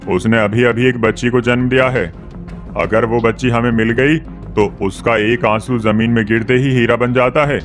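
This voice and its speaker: A man plays a greedy tantric sorcerer in a cartoon, with a mid-pitched voice. He schemes and declaims persuasively over faint music. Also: fairly even intonation, moderate pace, lightly acted. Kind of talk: Hindi cartoon story dubbing.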